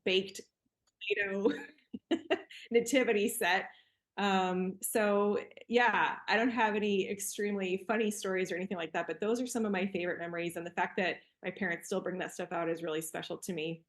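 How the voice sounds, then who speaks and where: Woman, 40s, grew up in Cameroon, relaxed podcast guest sharing memories, conversational with fillers. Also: medium pitch, normal speed. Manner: warm, easygoing, with fillers and laughs. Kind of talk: casual reminiscing.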